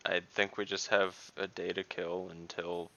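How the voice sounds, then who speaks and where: A man's voice: low-pitched, flat delivery, moderate pace, pushed and bright, muffled recording. A man playing a tabletop game, talking through plans casually in a dry, matter-of-fact way. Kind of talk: casual in-game planning talk.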